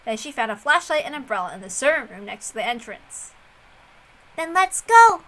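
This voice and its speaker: Female storyteller, high-pitched and bright, expressive scripted narration over light music. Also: fast, rough recording. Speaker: visual novel reader and narrator. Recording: music under the voice.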